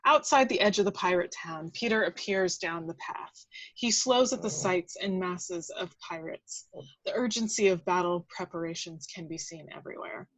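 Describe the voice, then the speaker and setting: A woman's voice: lowish voice, normal speed, poor audio. A woman reading scripted action descriptions aloud at a table read, now and then stumbling and correcting herself.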